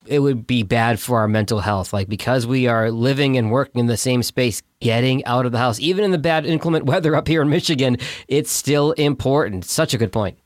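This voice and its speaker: A man's voice: medium pitch, fairly quick, hardly any pauses, no echo. Dry, chatty man in his forties. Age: 40s. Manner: chatty and upbeat, anecdotal. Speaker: podcast co-host. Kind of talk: casual chat.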